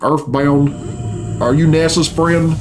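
Grumbling man, higher-pitched voice, music behind. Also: steady tone, slow. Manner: grumbling, blunt, profane. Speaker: reaction video host. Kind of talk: casual off-the-cuff reaction commentary. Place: small furnished home room indoors. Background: game cutscene music.

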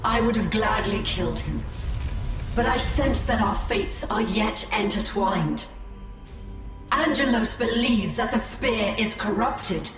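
A woman playing a proud, calculating alien seer in a video game, speaking formally and calmly. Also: mid-range voice, takes it slowly. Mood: calm resolve. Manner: formal, stately. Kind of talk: video game cutscene acting. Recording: very echoey, with music behind.

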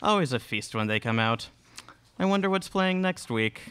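A man's voice: medium pitch, lively intonation, moderate pace, heavy background noise, close to an everyday voice. A young man playing an arrogant, taunting vampire villain in a live radio play. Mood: gloating. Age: young adult. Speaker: student radio play performer. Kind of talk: live radio theatre acting. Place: small classroom studio. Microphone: stand microphone, close.

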